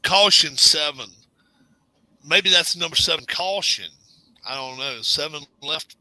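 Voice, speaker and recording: A man's voice: fairly high pitch, unhurried, sharp tone, no echo, low-quality recording. A man hosting a racing stream, joking and swearing freely in casual banter. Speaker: stream host.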